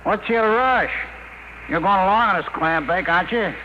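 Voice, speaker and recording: A man's voice: sits high, normal speed, close to an everyday voice. An American man playing a skeptical, wisecracking rocket technician in a radio drama, slangy and sardonic. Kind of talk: 1950s radio drama acting. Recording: noisy, muffled old radio recording.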